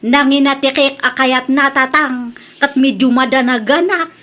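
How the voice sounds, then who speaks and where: A woman's voice: high-pitched, steady tone, unhurried, fairly bright tone. A woman with an Ilocano accent acting a polite village woman, friend and cake maker, in an audio drama. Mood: concerned.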